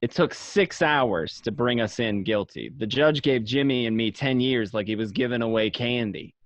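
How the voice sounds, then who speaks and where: A man's voice: medium pitch, moderate pace, no room sound, close to an everyday voice. A man playing a cynical, streetwise gangster who tells his own crime story in a radio drama. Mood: resigned. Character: cynical streetwise young mobster narrating his own arrest. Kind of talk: first-person crime drama narration. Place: home, remote online recording.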